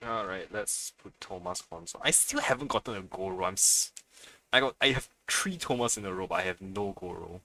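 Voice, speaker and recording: Low-voiced, very bright male game streamer. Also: expressive, a little fast, gravelly. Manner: unscripted, muttering to himself, swearing freely. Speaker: live streamer playing a video game. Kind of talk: casual live gaming commentary.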